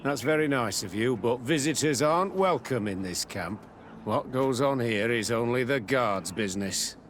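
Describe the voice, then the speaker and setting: A man acts out a military camp captain for a video game, guarded and used to command. His mid-pitched voice is measured and curt, with faint outdoor ambience behind it. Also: expressive, fairly bright tone, slight background noise, natural voice. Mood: guarded, official. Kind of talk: video game dialogue acting.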